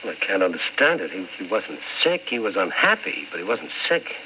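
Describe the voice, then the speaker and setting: A man's voice: sits low, very animated, brisk, noisy background. An actor playing a world-weary newspaper columnist in old-time radio drama style, wry and clipped as he tells his story. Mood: troubled, insistent. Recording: muffled vintage radio recording with music.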